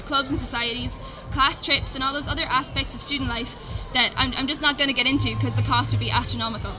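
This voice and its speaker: High-voiced young Irish student woman. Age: young adult. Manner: lively, laughing while explaining costs. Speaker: student speaker. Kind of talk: prepared speech. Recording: music and crowd behind.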